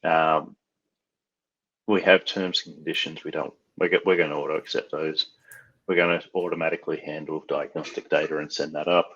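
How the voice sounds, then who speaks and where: A man's voice: extremely low pitch, little pitch movement, fairly quick, a bit bright, husky, dull audio. A man from Australia casually walking through a device setup tutorial, thinking aloud with frequent fillers. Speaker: IT trainer. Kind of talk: screen-share tech tutorial.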